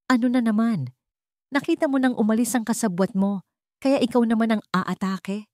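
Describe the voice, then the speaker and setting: A woman's voice: fairly high pitch, steady tone, normal speed, darkish tone, dry recording, voice pushed up, close to an everyday voice. Woman playing bitter mother, defiant, accusing, emphatic, radio drama, dry close sound. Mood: hostile.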